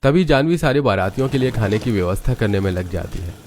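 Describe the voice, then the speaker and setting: A man's voice: on the low side, expressive, fast, a little mellow, slight background noise. A man narrating a scripted story as its storyteller.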